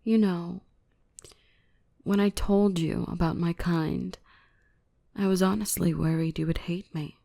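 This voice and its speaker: A woman playing a gentle, protective dark elf in an ASMR roleplay, speaking slowly and tenderly in a soothing soft voice that slips into breathy whispers. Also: lowish voice, fairly even intonation. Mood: affectionate, intimate.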